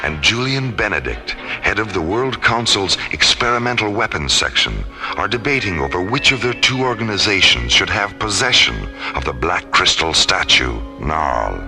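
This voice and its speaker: A man delivering measured, portentous scripted narration for a science fiction radio drama. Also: low-pitched, big pitch swings, bright, forceful tone. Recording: narration over prominent music.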